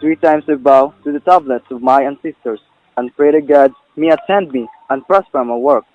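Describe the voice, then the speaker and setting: A man's voice: fairly high pitch, moderate pace, faint music behind, natural voice. A man playing an anxious, solemn old Chinese mandarin father in an amateur drama, speaking formally and declaiming his lines. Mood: solemn prayer. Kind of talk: stagy amateur stage-play recitation. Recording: dull lo-fi amateur recording.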